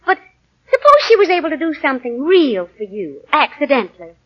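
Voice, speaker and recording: A devoted, persistent wife in a 1940s radio drama. She speaks in a very high voice with earnest, polite insistence. The sound is that of a muffled old broadcast. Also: wildly swooping pitch, brisk. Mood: pleading, insistent. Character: earnest, persistent, devoted young wife defending her husband.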